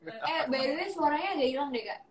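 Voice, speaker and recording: A woman joining a live stream as a guest over a video call, giving friendly, quick short replies. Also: sits high, lively intonation, reverberant, crowd noise. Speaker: guest, hijab brand founder. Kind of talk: casual live-stream chat.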